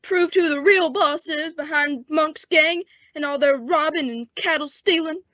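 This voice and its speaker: Young woman playing a high-voiced wounded western sheriff. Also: a little slow, a bit bright, no room sound. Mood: resigned. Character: wounded, dying male western sheriff, resigned and folksy. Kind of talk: old-time western radio drama acting. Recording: dull, dry lo-fi radio recording.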